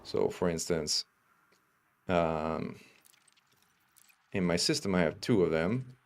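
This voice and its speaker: Deep-voiced man with faint Italian accent. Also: takes it slowly. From Italy. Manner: relaxed, chatty, reads chat aloud. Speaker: streamer. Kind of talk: casual gameplay stream chat. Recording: steady background noise.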